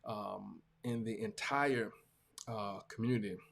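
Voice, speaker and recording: A man in his forties with a Southern US accent, a preacher talking casually and reflectively to his viewers, halting and earnest, often repeating phrases for emphasis. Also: fairly low pitch, creaky. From small-town Georgia, US. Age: 40s. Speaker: preacher hosting a talk show.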